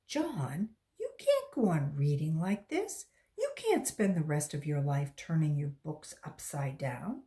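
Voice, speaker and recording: Storybook schoolteacher, female, firm and insistent, expressive pitch, measured pace acted by a woman reader. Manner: brisk, insistent.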